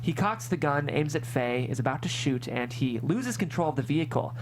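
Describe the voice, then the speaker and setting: A woman's voice: low-pitched, lively intonation, a little fast. A woman narrating stage directions from a screenplay at a table read. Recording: clear background hum and noise.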